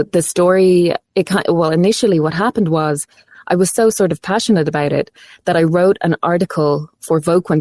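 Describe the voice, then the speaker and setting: A woman's voice: on the low side, dark tone, no echo. A woman with an Irish accent, a voiceover artist, talking earnestly in an interview and searching for her words. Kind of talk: phone-in interview.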